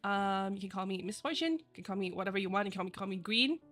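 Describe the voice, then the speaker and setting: A woman whose first language is Chinese, chatting casually with her viewers on a live stream, bubbly and quick to giggle. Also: mid-range voice, expressive, fairly bright tone, soft background music. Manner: bubbly and giggly, laughs often; chatty, rambling asides.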